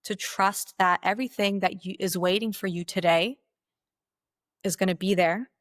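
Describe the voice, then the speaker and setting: A woman leading a guided meditation as its guide, speaking in slow, deliberate instructional phrases with pauses. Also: medium pitch, fairly even intonation, no echo, studio quality.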